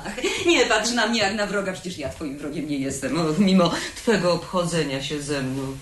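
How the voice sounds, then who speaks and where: An actress playing a scheming, affectedly genteel married niece in a radio drama, talking in a hesitant, cajoling, theatrical way. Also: female voice, lowish voice, normal speed, crowd noise, lightly acted. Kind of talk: 1970s radio drama acting. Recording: roomy, noisy old radio recording.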